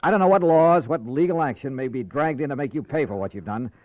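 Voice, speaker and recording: A man's voice: mid-range voice, quick pace, no echo, close to an everyday voice. An American man playing a tough, wry insurance investigator in 1950s radio drama, with clipped, slangy delivery. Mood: stern, indignant. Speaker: lead actor and narrator. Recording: dull vintage radio recording with music.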